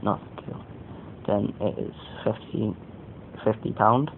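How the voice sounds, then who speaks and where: A man with a croaky voice giving a casual, rambling, off-the-cuff product review as an amateur. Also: steady tone, takes it slowly. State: croaky voice today. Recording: muffled phone-like sound, faint rumbling noise.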